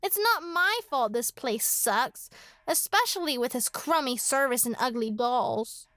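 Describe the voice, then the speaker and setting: High-pitched, vain little girl. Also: lively intonation, unhurried, a bit bright, no room sound. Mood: scornful. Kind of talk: horror radio drama acting.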